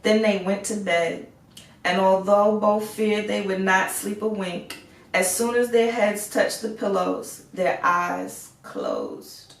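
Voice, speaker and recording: Slow-paced woman storyteller in a roomy space. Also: mid-range voice, animated, creaky. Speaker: storyteller reading aloud. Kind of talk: expressive fairy tale read-aloud narration. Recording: roomy echo, distant microphone.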